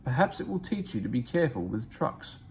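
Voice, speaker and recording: A man's voice: medium pitch, very animated, a little fast, a little hiss or hum. A man voicing a pompous, severe older railway boss in a storybook, speaking with cross authority.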